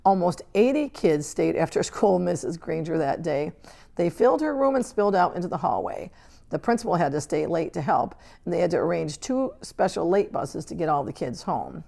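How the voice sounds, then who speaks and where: Female storybook narrator, lower register with a creaky edge, reading aloud for children. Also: sing-song, a little fast, warm tone. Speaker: reader. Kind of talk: children's book read-aloud, scripted narration.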